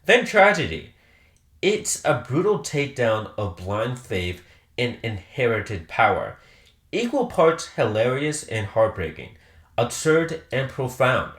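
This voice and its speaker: A man delivering scripted countdown-review narration as a host, leaning on emphatic short phrases with dramatic pauses. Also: mid-range voice, sing-song, a bit bright, roomy sound. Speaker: video host ranking audio dramas.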